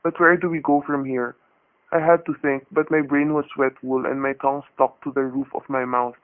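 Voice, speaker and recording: A man playing a quick-thinking hostage husband in a radio thriller, talking and narrating in a tense, hard-boiled way. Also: mid-range voice, flat delivery, close to an everyday voice. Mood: grim, tense. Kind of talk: radio thriller acting and first-person narration. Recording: dull, noisy radio-play recording.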